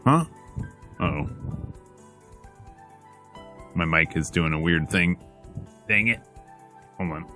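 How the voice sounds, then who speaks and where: A man's voice: sits low, slow pace, long pauses, sharp tone, faint music behind. A man reading a visual novel aloud in a casual, slangy let's play style, moving between narration and dialogue.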